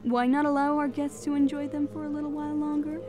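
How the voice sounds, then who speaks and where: A woman's voice: high-pitched, level tone, quick pace, natural voice. A woman playing a poised pony queen in an audio drama, formal and composed with a dry, cutting edge. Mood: cool, dry. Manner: composed, formal, dryly pointed. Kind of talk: fan audio drama acting.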